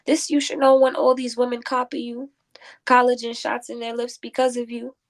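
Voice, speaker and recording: A woman with a fairly high voice speaks as a guest poet on a radio show. Her style runs from casual talk to rhythmic, declamatory spoken word. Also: level tone, moderate pace. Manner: declamatory, rhyming delivery when reciting.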